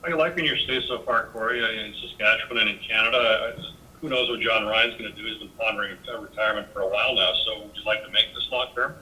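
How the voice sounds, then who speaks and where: A man's voice: on the low side, quick pace, sharp tone, far from the mic, low-quality recording. A man asking questions as a sports reporter at a press conference.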